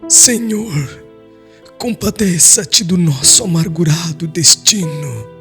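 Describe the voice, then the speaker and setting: A man's voice: fairly high pitch, expressive, slow, a bit bright, faint music behind, voice pushed up, full character voice. A man acting an anguished, pleading old father. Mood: pleading sorrow. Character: anguished old father praying in tearful sorrow.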